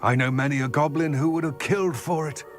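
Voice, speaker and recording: Guy doing an older goblin from a video game, solemn n proud, very formal measured speech, music playing. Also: fairly high pitch, fairly even intonation, natural voice. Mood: pleased, grateful. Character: aging male goblin, solemn, proud, formal former fighter. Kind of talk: video game cutscene acting.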